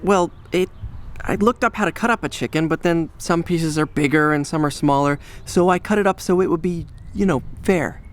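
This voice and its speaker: An actor playing an earnest young medical graduate in a radio drama, defensive and conversational in delivery. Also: male voice, fairly high pitch, moderate pace, natural voice. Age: young adult. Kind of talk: naturalistic radio drama acting.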